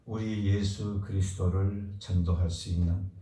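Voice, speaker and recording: A low, dark-toned man preaching with a slight hoarseness from a cold. He moves between a fervent formal prayer and relaxed, chatty announcements. The sound is roomy and distant, caught from afar in a church hall. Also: measured pace. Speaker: pastor. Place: church sanctuary.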